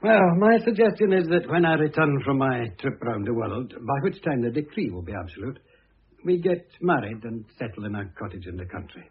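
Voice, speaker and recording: Husky, pompous ex-husband, vintage radio. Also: male voice, medium pitch, very animated, fairly quick. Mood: brisk, self-assured. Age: middle-aged. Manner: pompous, blunt, indignant. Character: conceited, blustering, self-absorbed middle-aged ex-husband. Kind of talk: vintage radio drama acting. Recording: muffled, narrow-band old radio recording.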